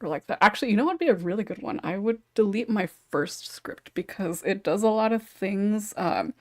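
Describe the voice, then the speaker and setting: A woman's voice: mid-range voice, animated, fairly quick, a little mellow, no echo. Woman chatting as podcast host, dry close sound, hesitant and giggly, lots of asides. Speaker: podcast host and script writer. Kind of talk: casual podcast chat.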